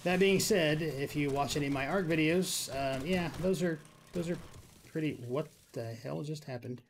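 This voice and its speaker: A man's voice: fairly high pitch, normal speed. Casual man, noisy recording. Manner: rambling, thinking aloud, mildly grumbling. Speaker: gaming video creator. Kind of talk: casual game build commentary.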